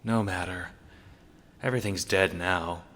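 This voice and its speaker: A man's voice: low-pitched, fairly even intonation, a little slow, fairly bright tone, pitched below the performer's own voice. A man voicing a blunt, matter-of-fact female messenger creature in an audio drama, plain and detached. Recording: long hall-like reverb.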